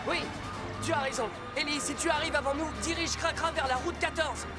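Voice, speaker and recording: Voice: high-pitched, fairly even intonation, brisk, loud music behind the voice, close to an everyday voice. An actor voicing a decisive talking police car who leads a rescue team in a French children's cartoon, giving commanding instructions. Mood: urgent, decisive.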